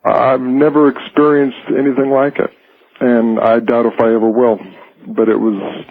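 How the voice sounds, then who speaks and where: Male radio caller, husky and hesitant, telling a personal memory over a narrow phone line. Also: medium pitch, little pitch movement. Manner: halting, hesitant. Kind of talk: personal recollection on a call-in show.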